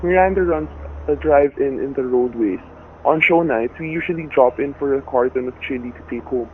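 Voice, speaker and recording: Actor as a tense husband narrating, tinny radio. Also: male voice, medium pitch, normal speed, lightly acted. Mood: guarded. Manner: colloquial, vivid storytelling. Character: tense, folksy husband narrating his own hostage ordeal. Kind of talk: first-person radio thriller narration. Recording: tinny, noisy narrow-band radio recording.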